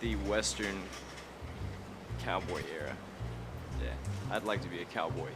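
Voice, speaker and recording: A young man from North Carolina answering interview questions in a laid-back, soft-spoken way. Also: low-pitched, measured pace, over loud music. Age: young adult.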